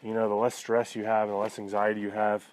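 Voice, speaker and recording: A man's voice: fairly low pitch, monotone, quick pace, a little mellow, a little hiss or hum, rough recording. A man vlogging in a casual, conversational and reflective way, talking to viewers and often checking in with "you know". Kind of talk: casual personal talk to viewers.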